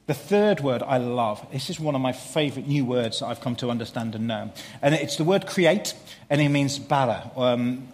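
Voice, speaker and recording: A man's voice: medium pitch, in a large hall. A man preaching a sermon, earnest and explanatory, building to fervent emphasis.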